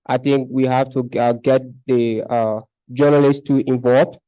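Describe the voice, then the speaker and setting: A man's voice: medium pitch, fairly even intonation, unhurried, clean tone, lo-fi sound. A young man from Liberia, speaking up earnestly at a conference, often repeating words as he makes his case. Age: young adult. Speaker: conference participant. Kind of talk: discussion contribution. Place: conference hall.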